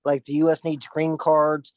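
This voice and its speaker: A man's voice: fairly high pitch, monotone, moderate pace, no room sound. A man in casual chat, blunt and opinionated, with loose "like" fillers.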